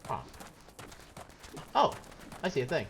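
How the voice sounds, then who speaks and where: A man's voice: mid-range voice, huge pitch swings, slow, vocal fry, slight background noise. A man streaming a video game, giving casual, wry commentary as he thinks aloud. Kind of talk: casual live gameplay commentary. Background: game music.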